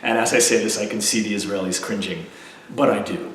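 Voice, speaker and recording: A fairly low-pitched man speaks casually as an interview guest, heard from some distance in a very echoey room. He tells his stories with energy, acting out the people he quotes. A faint hiss of room noise sits behind him. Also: fairly quick. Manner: animated storyteller, acts out voices of others in anecdotes. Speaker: interview guest, stage actor and musician.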